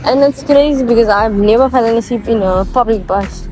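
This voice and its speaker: A woman's voice: fairly high pitch, fairly quick, darkish tone, soft background music, low-quality recording. A young woman chatting casually to her vlog as a student, in a slangy, informal way with swearing. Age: young adult. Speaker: student vlogger.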